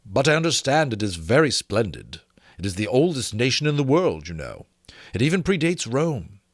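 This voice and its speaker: A man voicing an affectionate, gentlemanly officer in a storybook reading, measured and courteous as he speaks to his companion. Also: mid-range voice, very animated, no echo, clean studio sound, pitched above the performer's own voice. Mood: fond, admiring.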